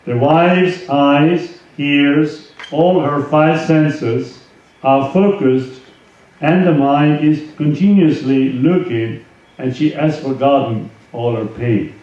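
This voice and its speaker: A man speaking as a swami, giving an informal spiritual talk and reading aloud from a book, with halting conversational asides. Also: on the high side, darkish tone. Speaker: swami, yoga teacher. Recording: roomy echo, distant mic, dull sound, faint noise.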